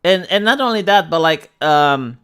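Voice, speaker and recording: Animated sarcastic streamer man. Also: a little high, normal speed, smooth. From Moldova. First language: Romanian. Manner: animated and sarcastic, repeats words when starting. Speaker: live streamer. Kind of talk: casual reaction commentary.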